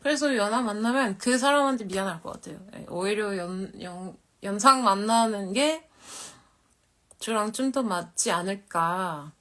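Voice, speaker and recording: A woman's voice: medium pitch, racing, bright, forceful tone. A woman in her thirties, from Korea, chatting candidly and a bit ramblingly with viewers on her live stream. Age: 30s. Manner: candid, rambling, self-deprecating. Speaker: model and livestream host. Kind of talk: casual live-stream chat.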